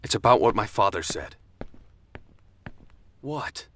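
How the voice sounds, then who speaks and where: Low-voiced, intense young American man. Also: lively intonation, slow. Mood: pleading, urgent. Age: young adult. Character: intense young college man bent on exposing his uncle. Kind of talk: radio drama acting.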